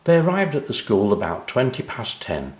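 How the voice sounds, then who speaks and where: A man's voice: medium pitch, huge pitch swings, normal speed, darkish tone, reverberant. A man narrating a children's story expressively, speaking directly to young listeners. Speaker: author and narrator.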